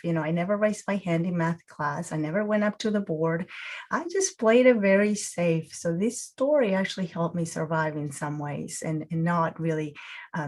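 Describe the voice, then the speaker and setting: A woman's voice: on the low side, darkish tone. Reflective woman teaching mindfulness. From Peru. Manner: reflective, many fillers and restarts. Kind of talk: guided mindfulness talk.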